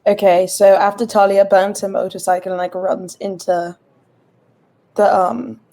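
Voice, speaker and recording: A woman with a very soft-edged, mellow voice talks casually as a player in a tabletop game. Her pitch is middling, and her phrasing is informal, full of "like". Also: little pitch movement, unhurried, gravelly. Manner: informal, heavy use of "like". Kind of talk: casual chat.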